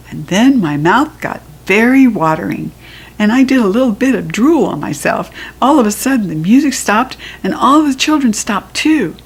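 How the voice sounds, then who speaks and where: Expressive American woman reading a children's story. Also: fairly high pitch, slightly rough. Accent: General American. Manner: expressive, childlike first-person telling. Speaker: children's book reader. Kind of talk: read-aloud storybook narration.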